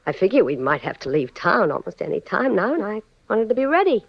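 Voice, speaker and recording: An actress playing a scheming, slangy gangster's moll in a 1940s radio crime drama. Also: female voice, medium pitch, sing-song, brisk, gravelly, no room sound. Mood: evasive, coy. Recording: dry, dull 1940s radio recording.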